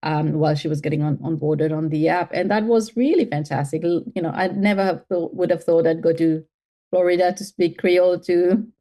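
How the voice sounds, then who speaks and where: A woman's voice: on the low side, steady tone, a little fast, mellow. A middle-aged woman from Mauritius, a guest on an interview, answering thoughtfully with frequent fillers and restarts. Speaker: guest, health tech CEO.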